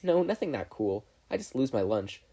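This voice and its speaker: A reader voicing a bright, friendly, playful teenage girl student in a fan fiction audiobook. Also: medium pitch, sing-song, moderate pace, slightly rough. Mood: matter-of-fact. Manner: upbeat, earnest, playful.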